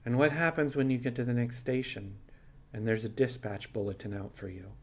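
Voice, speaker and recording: A man voicing a frank, thoughtful animal pirate captain with a level, folksy and deliberate way of talking. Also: medium pitch, fairly even intonation, natural voice. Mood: probing.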